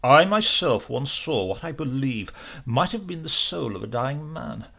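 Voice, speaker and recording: A man voicing a curious, excitable doctor in a horror audiobook, inquisitive and musing in his delivery. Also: medium pitch, measured pace, creaky, full character voice. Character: curious, excitable male Italian doctor, the professor's friend.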